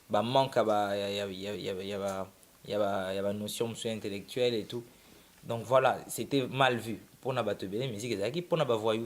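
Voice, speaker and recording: A young man from Congo-Kinshasa, a musician telling his story in a casual, rambling way, often restarting his sentences. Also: mid-range voice. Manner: relaxed, rambling, with many restarts. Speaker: musician guest. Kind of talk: casual interview, mixing French and Lingala.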